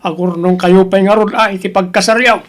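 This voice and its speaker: Lalaki nga agak-akto nangato ti timekna nga ama. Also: male voice, high-pitched, steady tone, a little fast, lightly acted. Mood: grudging, resigned. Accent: Ilocano. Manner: scolding, blunt, questioning. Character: stern, exasperated father grilling his daughter's boyfriend. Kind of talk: Ilocano audio drama acting.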